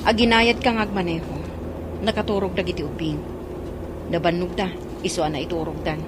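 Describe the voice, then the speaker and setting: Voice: mid-range voice, steady tone, a little fast, music in the background, close to an everyday voice. A woman playing a jealous, reproachful, sarcastic wife and mother in an audio drama. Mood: bitter, jealous.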